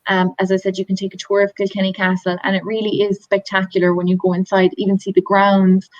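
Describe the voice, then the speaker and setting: A woman's voice: medium pitch, monotone, quick pace, no echo. Irish woman talking thru tour slides on a video call, dull dry sound, lotsa ums. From Ireland. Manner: chatty, enthusiastic, many ums and restarts. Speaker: tour company representative.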